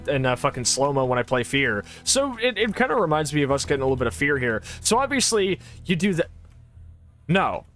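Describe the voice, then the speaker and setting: A high-pitched man talks through a game, his voice swooping wide as he gets excited. He sounds congested and swears freely between laughs, with soft game music under him. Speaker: streamer playing a shooter. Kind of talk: casual gameplay chat.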